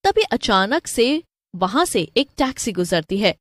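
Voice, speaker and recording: A woman's voice: a little high, sing-song, unhurried, dry recording, studio quality. A woman narrator giving a scripted narration of a cartoon story.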